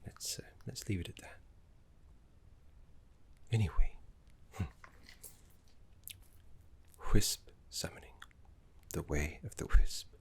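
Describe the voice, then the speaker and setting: Casting: male actor as courteous scholarly necromancer mentor, very low-pitched, breathy half-whisper, reverent and soothing, game-inspired ASMR roleplay. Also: lively intonation, heavily acted. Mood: wry, discreet, then calm, instructive. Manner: unhurried, refined, reassuring, deliberate pauses.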